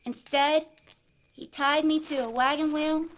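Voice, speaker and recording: A woman voicing a shaken frontier survivor, blinded and haunted, speaking in a high, halting voice. The audio drama sound is dull and lo-fi, with faint hiss underneath. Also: fairly even intonation, a bit bright.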